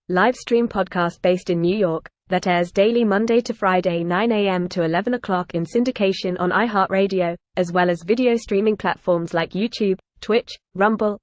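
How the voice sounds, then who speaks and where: A woman at a mid pitch reads a scripted promo in an even, listing cadence. The audio is dry with no room sound, and the sound is dull and lo-fi. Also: dry recording. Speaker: announcer reading a promo.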